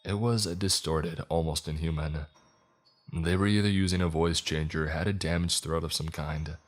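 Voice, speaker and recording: A man's voice: very deep voice, fairly even intonation, moderate pace. A man reading scripted first-person horror fiction as a narrator, with dramatic, suspenseful storytelling.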